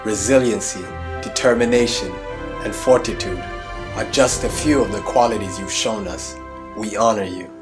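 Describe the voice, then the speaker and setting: A man's voice: fairly low pitch, normal speed. A man reading a solemn, scripted tribute about leadership. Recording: reading over clear music.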